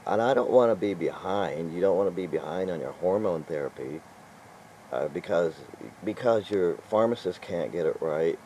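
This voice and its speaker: Low, mellow-voiced older man rambling. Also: expressive, normal speed, slight background noise. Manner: exasperated, chuckling, meandering. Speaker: vlogger. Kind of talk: casual rambling vlog talk.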